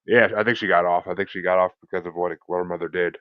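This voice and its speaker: A man co-hosting a podcast in casual chat, halting and searching for words, with some swearing. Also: deep voice, steady tone, no echo, phone-quality sound. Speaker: podcast co-host.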